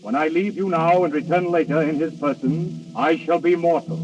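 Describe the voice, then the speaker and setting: A man's voice: fairly high pitch, a little mellow, lightly acted. An actor playing Death as a grand, imperious male spirit, speaking formally and theatrically in an old radio drama. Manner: formal, theatrical, commanding, deliberate phrasing. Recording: dull, distant old radio recording with music.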